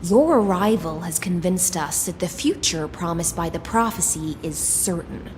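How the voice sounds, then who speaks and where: An actress playing a dutiful, courteous female knight commander in a fantasy game, speaking in a formal, measured, deferential way. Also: fairly low pitch, animated, long reverb tail, noisy background, natural voice. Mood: formal, proud. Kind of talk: scripted fantasy game dialogue.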